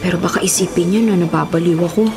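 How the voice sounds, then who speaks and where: A woman's voice: fairly low pitch, fairly even intonation, fast, natural voice. A woman playing a sassy, emphatic young college student with a Filipino accent in a radio drama. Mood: worried, secretive. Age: young adult. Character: sassy young female student who secretly sees spirits. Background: music bed.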